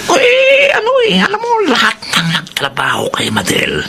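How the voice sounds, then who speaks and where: A nosy, gossiping coworker, high for a man and gravelly, his pitch leaping wildly as he needles and nudges. He speaks in a classic radio drama, over a steady background hiss. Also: normal speed, full character voice. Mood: egging on. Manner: needling, nudging, conspiratorial. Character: nosy, teasing male coworker who loves gossip. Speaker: coworker of the hero.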